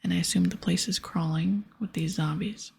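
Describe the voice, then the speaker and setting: Sceptical heroine for a video game read by a woman: very breathy whisper, dry questioning delivery. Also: lowish voice, fairly even intonation, moderate pace, a little mellow, full character voice. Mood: dry, curious. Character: plucky, sceptical young female adventurer. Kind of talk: whispered ASMR game dialogue.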